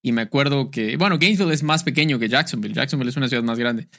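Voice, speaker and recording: A man's voice: medium pitch, rapid-fire, fairly bright tone. A man hosting a casual podcast interview, readily agreeing and chiming in. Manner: agreeable, chimes in with affirmations. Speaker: podcast host.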